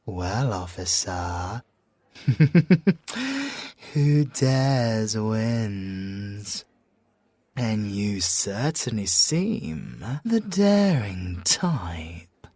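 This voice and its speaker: Man acting a very slow, suave British ex-soldier. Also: on the low side, animated, a little mellow, no room sound, heavily acted. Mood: smug, confiding. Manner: teasing, drawn-out, theatrical delivery with whispered asides. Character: suave, flirtatious, possessive male ex-special-forces bomb expert. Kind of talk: ASMR audio roleplay acting.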